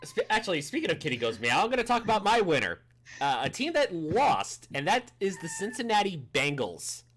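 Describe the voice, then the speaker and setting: A man in his thirties co-hosting a casual sports podcast, restarting sentences and rushing when excited. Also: high-pitched. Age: 30s. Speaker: podcast co-host.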